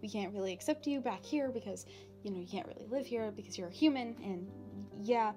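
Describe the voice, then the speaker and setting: A woman voicing a shy young demon princess in an ASMR roleplay, talking informally and haltingly to the listener. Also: medium pitch, warm tone, close to an everyday voice. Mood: fond, giggly. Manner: hesitant, halting, informal with long pauses. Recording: background music.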